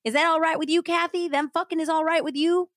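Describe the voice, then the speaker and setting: A woman voicing a furious, crude jealous husband who shouts accusations in a true-crime retelling. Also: very high voice, steady tone, fast, dry recording, studio quality, voice pushed up. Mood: furious, accusing.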